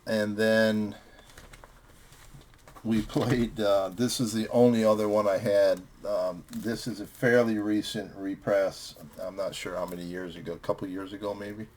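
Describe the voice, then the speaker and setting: A man's voice: on the low side, flat delivery, a little slow, darkish tone. A man hosting a music vlog, chatting casually as he reviews records with friends.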